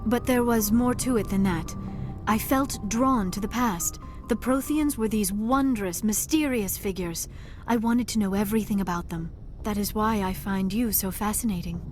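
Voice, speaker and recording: A woman's voice: medium pitch, normal speed, darkish tone, natural voice. Woman playing young female alien scientist, shy, bookish, precise formal speech, socially awkward, video game dialogue, music underneath. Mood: reflective, modest. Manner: formal, precise diction, avoids contractions.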